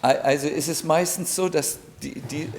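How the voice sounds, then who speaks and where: A man, a spiritual teacher, giving an informal, gently joking talk to a seated audience in a room. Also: a little high, fairly even intonation. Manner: unhurried, gently joking, thinking aloud. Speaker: spiritual teacher leading an evening gathering. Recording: live audience heard around the speaker.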